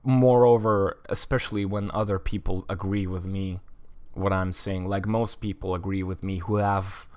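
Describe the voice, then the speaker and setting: A man's voice: low-pitched, fairly even intonation, normal speed. A man in his twenties with a Lithuanian accent, a streamer chatting casually and rambling as he plays, often restarting words and saying "like". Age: 20s. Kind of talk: casual chat while streaming a game.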